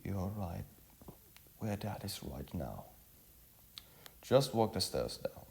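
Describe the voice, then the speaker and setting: A man playing a young well-off village daughter in a storybook, defensive and remorseful, speaking haltingly. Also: low voice, sing-song, vocal fry, a little mellow, exaggerated voice. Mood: subdued.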